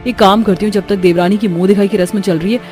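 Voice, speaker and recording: A woman's voice: medium pitch, fairly even intonation, quick pace, a little mellow, soft background music, lightly acted. A woman voicing a caring, earnest elder sister-in-law in a cartoon family story. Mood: worried. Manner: soothing, earnest.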